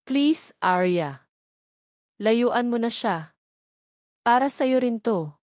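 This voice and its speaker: A woman's voice: fairly high pitch, animated, drawn-out words, a little mellow, no echo, studio quality, pitched above the performer's own voice. A woman voicing a protective, disapproving mother in an audio drama, insistent and pleading in manner.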